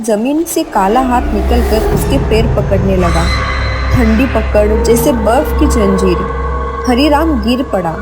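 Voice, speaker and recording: A woman's voice: fairly high pitch, poor audio. A woman narrating a scripted horror story with dramatic storytelling delivery.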